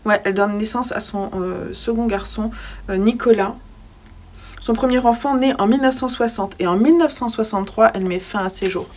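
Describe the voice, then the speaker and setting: Young woman, casual book vlog, hesitant, lots of fillers, mid pitch. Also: a little fast, darkish tone. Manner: hesitant, many fillers and restarts. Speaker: book vlogger. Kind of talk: casual book review with read-aloud poems.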